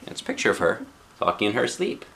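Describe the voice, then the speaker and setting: A man reading a picture book aloud as a dad would, playful and expressive, with casual asides to a child. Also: on the low side, fast talker, creaky, slight background noise.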